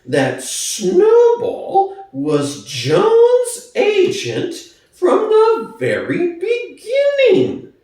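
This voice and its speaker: Very shrill, sly propagandist pig. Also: male voice, huge pitch swings, drawn-out words, a little mellow, heavily acted. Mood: slow, firm. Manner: theatrical, emphatic, insistent oratory. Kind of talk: dramatic audiobook reading. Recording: echoey room, distant from mic.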